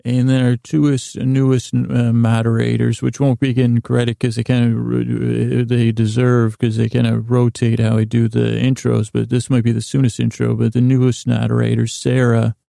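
A middle-aged man with a gravelly, creaky voice, hosting a bedtime sleep podcast in a meandering, halting monologue that trails off into tangents. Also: mid-range voice, completely flat delivery, dark tone, dry recording, clean studio sound. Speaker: podcast host.

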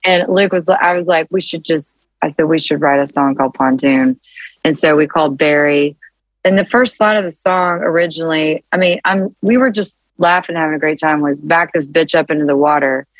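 A woman songwriter with a deeper, low-register voice, chatting casually as an interview guest and telling animated stories with many restarts. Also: fairly quick, no room sound, low-quality recording. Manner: animated storytelling with frequent restarts and laughs.

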